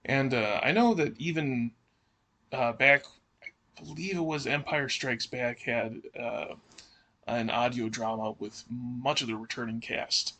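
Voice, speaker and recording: A man co-hosting a podcast, chatting and asking questions in a halting, thinking-aloud way. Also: mid-range voice, bright, forceful tone. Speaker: podcast co-host.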